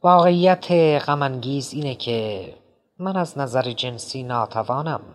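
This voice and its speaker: A man reading an audiobook, voicing a rattled, eccentric middle-aged man who pleads and mocks in a jittery, high-strung way. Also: expressive, close to an everyday voice. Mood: pleading. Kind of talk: audiobook character voice.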